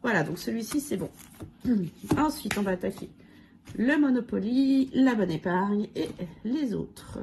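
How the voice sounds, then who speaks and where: A woman with a cold and a hoarse voice, casually going through her budget challenges and counting money aloud with lots of fillers. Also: on the high side, lively intonation, a little slow, background noise. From France. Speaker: budget vlogger. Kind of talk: casual budget review talk.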